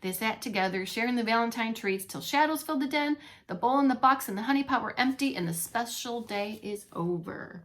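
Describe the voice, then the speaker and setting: A woman reading a storybook aloud to young children as a teacher, expressively, pausing to comment and ask questions. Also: fairly high pitch, expressive, fairly bright tone. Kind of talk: animated read-along storytelling for young children.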